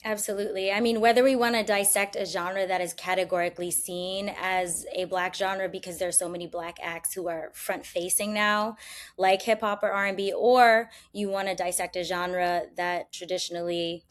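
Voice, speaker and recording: A woman's voice: medium pitch, steady tone, moderate pace. A woman speaking as a guest on a music history panel, explaining in an emphatic, articulate way and leaning on key words.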